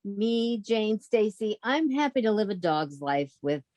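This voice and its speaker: A woman's voice: medium pitch, sing-song, measured pace, a little mellow, no room sound. A woman playing a sensible, wry young roommate who narrates a 1940s-style radio comedy. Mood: wry, fond. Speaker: actress in theatre company.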